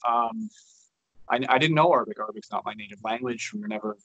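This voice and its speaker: A man's voice: on the low side, fast, a bit bright, breathy edge, lo-fi sound. A man in his thirties from Canada, a guest talking frankly on a video call, with many restarts and fillers. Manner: frank and chatty, many restarts and "you know". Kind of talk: casual interview on a video call. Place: indoors by a large window.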